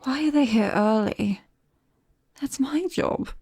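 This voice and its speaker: A British woman playing a shy, nervous vampire best friend in an ASMR roleplay, speaking softly and haltingly and often slipping into a whisper. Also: sits high, dry recording, full character voice. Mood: flustered. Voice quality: soft, breathy, often dropping to a whisper. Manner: hesitant, bashful, halting.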